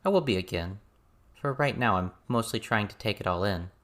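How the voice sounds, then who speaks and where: A man's voice: on the low side, animated, brisk, fairly bright tone. A man voicing a guarded, thoughtful teenage girl in an audiobook, dry and matter-of-fact.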